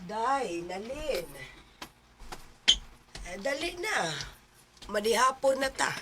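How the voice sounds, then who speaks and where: A fond middle-aged mother with a Cebuano accent in a radio drama. Her voice moves in lively swings, on a clearly noisy recording. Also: fairly high pitch, slow. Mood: matter-of-fact. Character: Roy's mother, fond middle-aged housewife welcoming guest.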